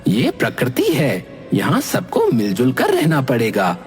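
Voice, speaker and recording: A man's voice: mid-range voice, huge pitch swings, unhurried, husky, full character voice. A man voicing an indignant cartoon ghost who lectures assertively in defence of forest animals. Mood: reproachful. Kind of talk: Hindi cartoon voice acting. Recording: long hall reverb, faint music behind.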